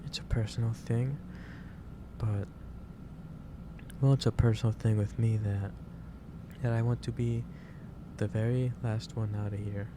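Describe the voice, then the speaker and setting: A man playing a gentle, caring office boss in an ASMR roleplay, speaking haltingly with repeated phrases over a noisy background. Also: lowish voice, fairly even intonation, natural voice. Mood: gently concerned. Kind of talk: soft-paced ASMR roleplay monologue. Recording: clearly noisy background hiss or ambience.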